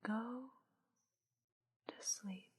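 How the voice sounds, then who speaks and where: A teasing witch hypnotist, acted by a woman, speaks in a breathy, feminine whisper that sits a little high. Her phrases come extremely slowly and softly, soothing and seductive in the manner of an ASMR hypnosis roleplay. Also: heavily acted. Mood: hypnotic, lulling. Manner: slow, soothing, drawn-out delivery.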